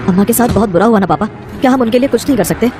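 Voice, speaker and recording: A woman's voice: fairly high pitch, rapid-fire, a little mellow, a little hiss or hum, lightly acted. A woman voicing an innocent, polite little schoolboy in a children's story. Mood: pleading.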